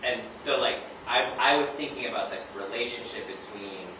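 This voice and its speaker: A man's voice: medium pitch, moderate pace. A man from Hawaii speaking from the audience at a live event, telling a casual, rambling anecdote as he works toward a question. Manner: rambling, conversational, frequent "like" and "right?". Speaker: audience member, a teacher, asking a question. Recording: distant, very echoey, noisy room recording.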